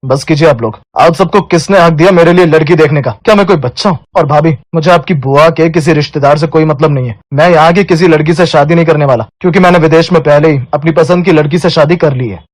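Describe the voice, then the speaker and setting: A man's voice: fairly high pitch, quick pace, hardly any pauses, no room sound, close to an everyday voice. A man playing a headstrong Indian son in his late twenties, curt and insistent, in a cartoon family story. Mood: defiant. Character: defiant, headstrong 28-year-old Indian younger son.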